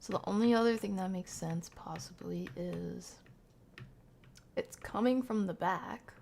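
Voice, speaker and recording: A woman doing casual live commentary on a horror game, talking to chat in slang and thinking out loud as she reacts. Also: lowish voice, a little slow, vocal fry, a little hiss or hum. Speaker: game streamer.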